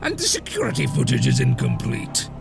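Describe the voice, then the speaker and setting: A man playing an irate, suspicious mad-scientist boss with a gravelly voice and bombastic, demanding manner. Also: medium pitch, wildly swooping pitch, a little fast, over loud music, exaggerated voice. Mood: irritated.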